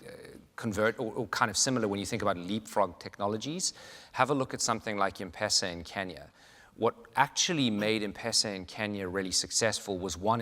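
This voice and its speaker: A man from South Africa speaking on a conference panel, explaining points in a measured way with frequent fillers. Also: on the low side, a bit bright. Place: conference hall. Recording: hall reverb.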